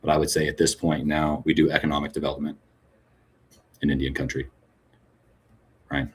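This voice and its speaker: A man's voice: very deep voice, flat delivery, moderate pace, slightly rough. A man speaking frankly as a podcast guest, often tagging his points with "right?". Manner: frank, tag questions with "right?". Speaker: cannabis business guest. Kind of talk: conversational podcast interview.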